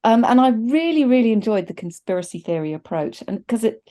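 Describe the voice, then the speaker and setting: A woman's voice: a little high, sing-song, fairly quick, dark tone. A middle-aged woman chatting enthusiastically as a panelist in a casual film review on a video call.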